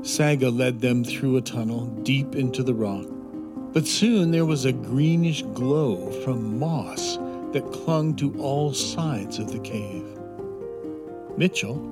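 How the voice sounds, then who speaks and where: Unhurried male storyteller over music. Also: medium pitch, animated. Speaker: narrator. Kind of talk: scripted children's story narration.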